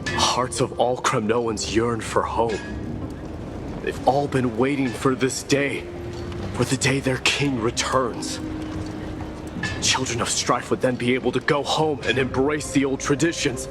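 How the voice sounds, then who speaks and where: An actor playing a brooding, reluctant young warrior prince in a video game, speaking in a solemn, intense, measured way. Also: male voice, medium pitch, fairly even intonation, music in the background, close to an everyday voice. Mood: brooding, troubled. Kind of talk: dramatic video game voice acting.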